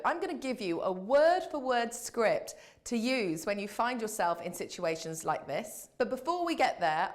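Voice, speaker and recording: Woman presenter with very lively pitch. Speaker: host of a business coaching web show. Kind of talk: scripted advice to camera.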